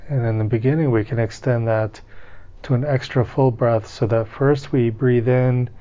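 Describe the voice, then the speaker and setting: Calm guy doing guided meditation, soft mellow tone, pretty flat pitch, slow pauses, bit dull recording. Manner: gentle, calm, unhurried instruction with long pauses.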